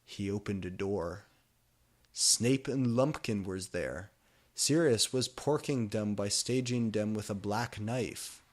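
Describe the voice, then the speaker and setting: A man's voice: on the low side, slow. A man reading fan fiction aloud as a dramatic comic narration, sounding out garbled words exactly as written. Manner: reads misspellings and typos aloud literally.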